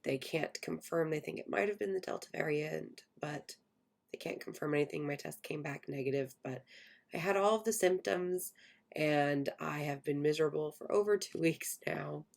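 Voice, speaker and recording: A woman in her late twenties hosting a casual podcast, croaky and hoarse while getting over an illness, with a voice that cracks now and then. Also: low-pitched, moderate pace, a little mellow. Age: 20s. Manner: chatty, self-deprecating, laughs often. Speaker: podcast host. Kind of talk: casual chat.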